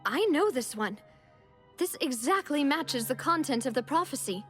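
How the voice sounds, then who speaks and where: An earnest, bright-voiced young sleuth. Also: female voice, sits high, expressive, moderate pace, soft background music. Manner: earnest and reasoning aloud. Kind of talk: video game dialogue.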